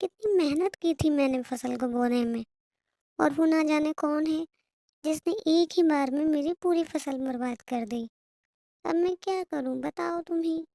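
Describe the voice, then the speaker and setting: A woman voicing a small, gentle female bird in a cartoon moral story, soft and plaintive. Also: sits high, measured pace, vocal fry, no echo. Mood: tearful. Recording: dull lo-fi audio.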